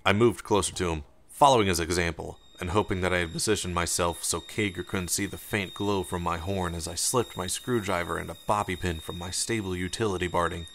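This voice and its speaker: A man's voice: low-pitched, steady tone, fairly quick, hardly any pauses, fairly bright tone, slight background noise. A man reading scripted story narration as an audiobook narrator, with music behind him. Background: music under the narration.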